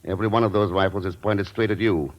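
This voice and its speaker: An actor playing a wry, unflappable secret agent in a 1940s radio drama, dry and authoritative. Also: male voice, low voice, steady tone, quick pace, lightly acted. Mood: cool, assured. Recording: muffled, noisy vintage radio recording.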